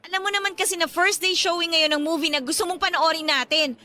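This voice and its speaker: A woman's voice: very high-pitched, fairly even intonation, quick pace, pushed and bright. A woman playing a guarded, irritable young woman in a Tagalog radio romance drama, speaking with emotional emphasis. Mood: irritated, nagging.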